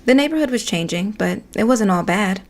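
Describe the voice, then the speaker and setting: An actress playing a candid working woman in a comic audio drama, telling her side casually with deadpan asides. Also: mid-range voice, a little fast, natural voice. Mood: wry, concerned. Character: candid, wry working woman, a concerned neighbour.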